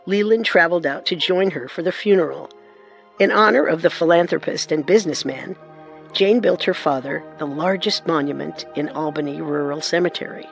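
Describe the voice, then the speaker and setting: Man, high voice, measured dramatic narration, music underneath. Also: creaky. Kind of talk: scripted documentary narration.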